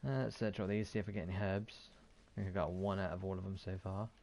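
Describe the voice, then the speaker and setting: A man's voice: sits low, little pitch movement, quick pace, gravelly, a little mellow. A man giving casual, offhand gameplay commentary, muttering and mildly swearing to himself. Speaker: gaming YouTuber.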